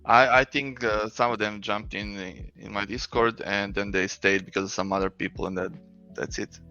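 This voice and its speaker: A man with a Croatian accent talks casually as a guest, hesitant and joking. His voice is on the low side with a lot of creak. The audio is a bit muffled, with faint music behind. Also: fairly even intonation, moderate pace, sharp tone. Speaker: interview guest, 3D designer. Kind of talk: casual unscripted chat.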